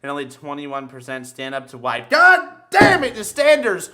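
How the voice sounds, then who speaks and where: Crude animated podcast guy, kinda high bright voice, swears a lot, telling stories casually. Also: moderate pace, faint music behind. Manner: crude, foul-mouthed, animated storyteller. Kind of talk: casual bantering podcast chat and storytelling.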